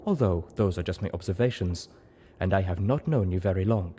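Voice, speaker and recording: Man acting female zebra, cool, frank, measured, formal, storybook character, light background noise. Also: low-pitched, warm tone, natural voice.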